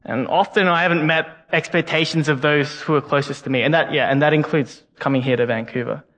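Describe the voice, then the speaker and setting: A man's voice: fairly high pitch, fairly even intonation, brisk, a bit bright. A young man preaching a sermon as a guest speaker, earnest and often repeating words as he goes. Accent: non-Canadian English, unplaced. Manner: earnest, frequent word repeats. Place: church hall. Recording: long hall reverb.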